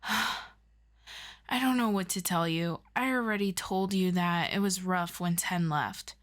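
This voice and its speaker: A woman's voice: fairly low pitch, takes it slowly, a bit bright. A woman playing an impatient, casual superhero best friend in an audio roleplay, with an offhand manner. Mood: defensive.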